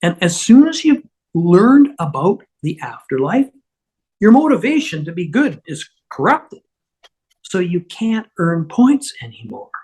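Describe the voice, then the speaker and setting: A woman reading the part of a flustered, apologetic male otherworldly schemer, husky and halting as she stammers through explanations. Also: lowish voice, sing-song, darkish tone, full character voice, poor audio. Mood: resigned, apologetic. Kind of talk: amateur script table read.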